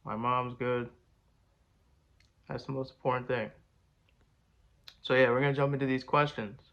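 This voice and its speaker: A man's voice: mid-range voice, fairly even intonation, moderate pace, creaky, a bit bright. A man with a congested voice, talking to camera in a laid-back, slangy, rambling way. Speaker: rapper and video host. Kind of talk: casual talk to camera.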